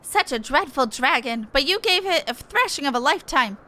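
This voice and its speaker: A woman's voice: high-pitched, lively intonation, normal speed, bright, forceful tone. A woman voicing a small, earnest moogle guard creature, plucky and exclaiming, reading game dialogue expressively. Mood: proud, relieved.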